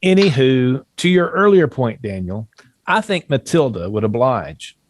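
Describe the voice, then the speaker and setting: A man's voice: mid-range voice, sing-song, measured pace. A man acting a genial, eccentric, well-to-do father in a period comedy read, chatty and genteel.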